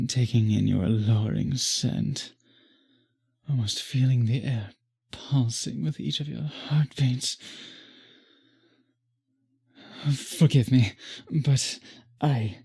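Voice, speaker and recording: A man voicing a courtly, possessive vampire in an intimate roleplay audio, with formal, florid, beseeching speech and a breathy voice. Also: medium pitch, warm tone, heavily acted. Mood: possessive, uneasy. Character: courtly, obsessive, possessive male vampire pleading with the listener.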